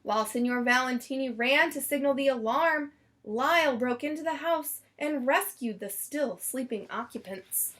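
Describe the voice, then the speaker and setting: A woman reading a children's picture book aloud as storyteller, expressively and with deliberate dramatic emphasis. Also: sits high, fairly bright tone.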